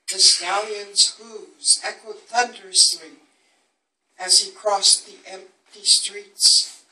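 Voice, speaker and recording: Female poetry reader, unhurried dramatic storytelling, roomy distant live recording with faint noise. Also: mid-range voice, sharp tone. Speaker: poet reading at a book launch. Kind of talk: recited rhyming poetry reading. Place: indoor book launch event. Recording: echoey room, distant mic, faint noise.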